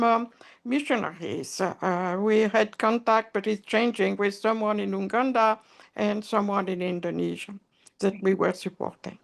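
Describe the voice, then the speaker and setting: Gravelly woman, halting speech. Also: mid-range voice. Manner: hesitant, halting. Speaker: mission committee member. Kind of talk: casual sharing.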